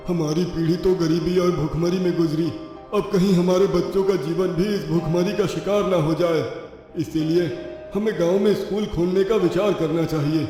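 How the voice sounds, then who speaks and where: A man's voice: high voice, fairly even intonation, normal speed, mellow, natural voice. A man playing an earnest, idealistic villager making his case in a moral-story cartoon. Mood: pleading. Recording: very echoey hall, faint music.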